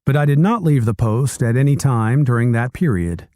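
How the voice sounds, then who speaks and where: A man's voice: mid-range voice, normal speed, mellow, dry recording, studio quality, natural voice. A man voicing an honest young private who answers politely and plainly. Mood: respectful.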